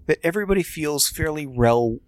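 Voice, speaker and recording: A man's voice: a little high, animated, a little fast, no room sound. A man co-hosting a podcast, talking through a TV show in a casual, explanatory, conversational way. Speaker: podcast co-host. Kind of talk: casual TV review discussion.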